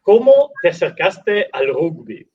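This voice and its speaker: A man's voice: sits high, big pitch swings, takes it slowly, muffled recording. An Italian man hosting a casual interview in Spanish, speaking with an Italian accent and many restarts and fillers. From Italy. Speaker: host.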